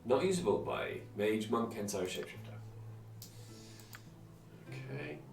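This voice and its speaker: A man with a slightly low voice streams a game, muttering casual, wry commentary to himself. He sounds roomy and a little away from the mic, with clear game music behind him. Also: big pitch swings, unhurried, recorded from a distance. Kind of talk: casual live gameplay commentary.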